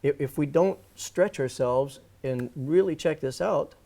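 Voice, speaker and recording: A man's voice: a little high, a little slow, gravelly, dark tone. A man speaking off the cuff as a guest on a radio interview, earnest and emphatic, often restating his points. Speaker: alternative-media guest and writer. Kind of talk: unscripted radio interview talk.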